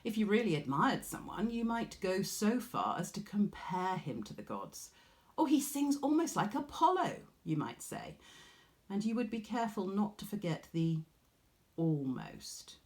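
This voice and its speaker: A woman reading a storybook aloud like a teacher, expressive, with dramatic pauses. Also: on the low side.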